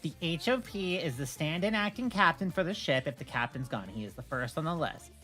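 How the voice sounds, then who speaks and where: A woman with a slightly low voice speaks casually as a livestream host, with faint music behind her. She is emphatic and argumentative, stating her views firmly. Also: animated, a little fast, fairly bright tone. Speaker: streamer.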